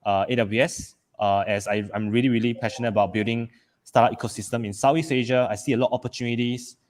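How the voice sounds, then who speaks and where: A man's voice: mid-range voice, fairly even intonation, fast talker. A man from Malaysia telling his story casually on a video call as a panel speaker, with many fillers and restarts.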